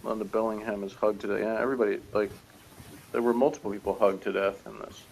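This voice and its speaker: A man's voice: fairly low pitch, level tone, fast, gravelly, darkish tone, slight background noise, low-quality recording. A man reviewing a TV episode in casual, rambling, jokey chat, often chuckling. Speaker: reviewer on a TV show review channel.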